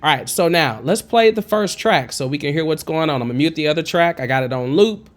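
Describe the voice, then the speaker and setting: A man in his thirties with a high, twangy tenor, talking casually through a music production tutorial from a home studio on a condenser mic. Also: lively intonation, brisk. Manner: chatty, encouraging, digresses. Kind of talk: casual screen-recorded tutorial. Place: home studio with green screen. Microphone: studio condenser mic with pop filter, wearing headphones.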